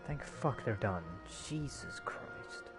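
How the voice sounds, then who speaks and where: A man's voice: mid-range voice, expressive, slow. A man giving casual, impatient, sweary commentary as he plays a game. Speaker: game streamer. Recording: game music behind the voice.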